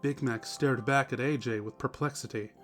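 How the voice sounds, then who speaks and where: Narrator, male, mid-range pitch, scripted storytelling for an audio drama, light music bed. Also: steady tone, normal speed.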